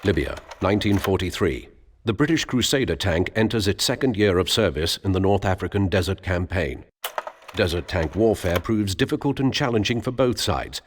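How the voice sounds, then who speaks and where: A man narrating military history in a measured, grave, formal documentary style for a game. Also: low voice, expressive, a little hiss or hum. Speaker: narrator of war history briefings.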